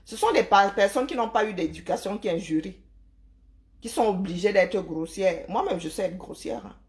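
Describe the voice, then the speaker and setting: A woman's voice: medium pitch, normal speed. A woman from Cameroon, hosting a live stream and lecturing her viewers emphatically, with rhetorical questions and tag words like "hein". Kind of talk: opinionated live-stream monologue.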